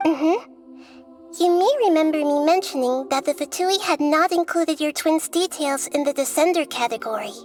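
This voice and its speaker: A woman voicing a young, gentle female goddess of wisdom who explains things in a calm, measured way. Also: sits high. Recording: game audio with faint music.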